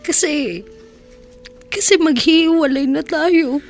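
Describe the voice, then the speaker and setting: A woman playing a vulnerable, earnest young woman in love in a radio drama, with a soft, pleading delivery. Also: high-pitched, takes it slowly. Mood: tearful. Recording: music bed behind voice.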